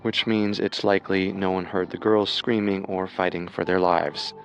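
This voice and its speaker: A man co-hosting a scripted true-crime show, narrating in a measured storytelling manner. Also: low voice, little pitch movement, faint music behind, poor audio. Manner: measured storytelling with rhetorical questions. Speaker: co-host narrator.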